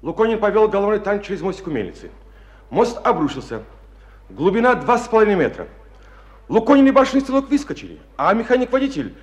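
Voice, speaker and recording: An earnest young army cadet in a radio play. His voice is high and swings widely. He reports urgently, with loyal, pleading formality. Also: big pitch swings, quick pace. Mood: urgent reporting. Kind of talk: Russian radio drama acting.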